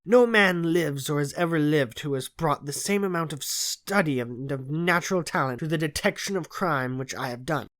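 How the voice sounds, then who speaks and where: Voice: mid-range voice, moderate pace, few pauses, a bit bright, natural voice. A narrator playing a conceited, analytical male detective in an audiobook, lecturing with self-assured disdain. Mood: complaining, boastful. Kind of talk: audiobook character voice in classic detective story.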